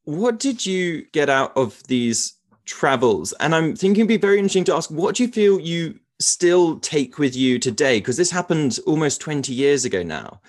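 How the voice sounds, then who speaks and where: A man's voice: fairly high pitch, lively intonation, normal speed, no echo, clean studio sound. A man hosting a podcast, reading his introduction and then asking a guest questions in an earnest, enthusiastic way. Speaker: podcast host.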